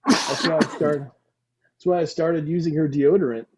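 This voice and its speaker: A man's voice: fairly high pitch, steady tone, moderate pace, darkish tone, slight background noise, natural voice. A man playing a sweet, dim, lovesick young slacker with slangy, earnest, boastful talk in a comedy table read. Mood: curious, chummy. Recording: dull, lo-fi video call sound.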